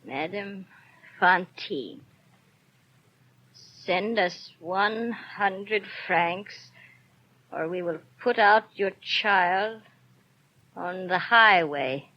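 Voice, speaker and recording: Destitute mother, 1930s radio: anguished, very slow and halting, muffled old recording. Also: medium pitch. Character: desperate, destitute mother in despair. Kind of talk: 1930s radio drama acting.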